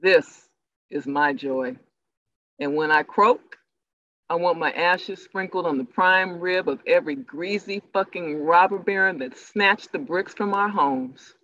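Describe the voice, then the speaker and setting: A man plays a fired-up activist preacher in a stage drama. His voice is high and sharp, and the audio is dry and a little dull. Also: measured pace, dry recording. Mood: defiant. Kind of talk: staged play reading over video call.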